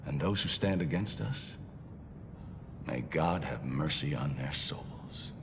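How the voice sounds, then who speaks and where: An actor playing a zealous male battalion commander who gives a grave, forceful broadcast address. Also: very deep voice, lively intonation, slow, vocal fry, in a large hall, noisy background, heavily acted. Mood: commanding. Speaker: military commander.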